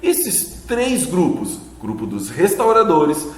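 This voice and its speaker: A man with a Brazilian Portuguese accent gives a relaxed lesson, tossing questions and little tags back at his students. His voice sits a touch high and swings widely in pitch. The sound is roomy, with a long reverb trailing after his words. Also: takes it slowly. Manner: chatty, asks questions back, frequent "né?" tags. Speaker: history teacher. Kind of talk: casual video lesson. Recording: echoey room with long reverb.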